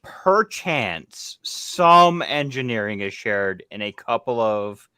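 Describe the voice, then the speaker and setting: A man's voice: medium pitch, lively intonation, takes it slowly, pushed and bright, clean tone, no room sound. A man chatting as a panelist on a livestream, often restarting and repeating his phrases. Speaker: panelist on a toy review livestream. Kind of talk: casual group discussion. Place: online livestream call.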